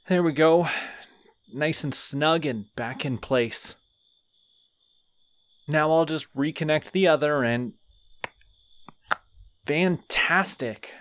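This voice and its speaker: A man voicing a friendly, chatty male skeleton who rambles sheepishly in an audio roleplay. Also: a little high. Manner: rambling, sheepish, talks to himself.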